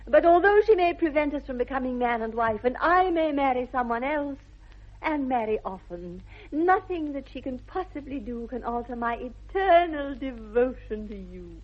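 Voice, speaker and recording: A woman's voice: sits high, animated, moderate pace. A woman acting an imperious, romantic young society lady with an upper-class English accent, precise and theatrical in a 1960s radio comedy. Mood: grandly devoted. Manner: precise, commanding, grandly theatrical. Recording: muffled vintage radio recording.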